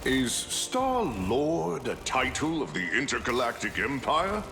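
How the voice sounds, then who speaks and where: An actor voicing a boisterous, proud Norse thunder god with grand archaic phrasing for a video game. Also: male voice, mid-range voice, very animated, slow. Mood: teasing, proud. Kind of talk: video game hero voice lines. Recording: long reverb, music behind.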